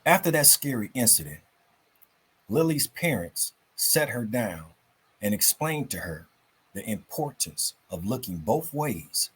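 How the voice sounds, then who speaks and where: A man hosting and reading for a children's story show in an informal, folksy, unhurried way, with a husky, raspy voice. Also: mid-range voice, big pitch swings, a bit bright. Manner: folksy, unhurried, addresses listeners as family.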